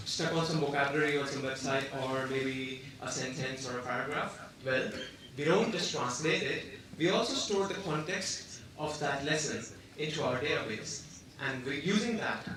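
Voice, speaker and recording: Man pitching in an echoey hall. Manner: eager, earnest, occasional fillers. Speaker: hackathon team presenter. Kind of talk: elevator pitch to an audience. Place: large hall with an audience. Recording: echoey hall from far off with crowd.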